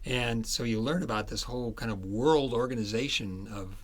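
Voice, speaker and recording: A man's voice: mid-range voice, normal speed. A man being interviewed as an author, telling history in a conversational, explanatory and emphatic way.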